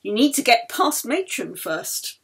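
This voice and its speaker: Woman reading as an earnest, husky, admiring hospital doctor. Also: fairly high pitch, very animated, unhurried, heavily acted. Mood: delighted, admiring. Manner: earnest, effusive. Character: courteous, admiring male hospital doctor, quietly impassioned.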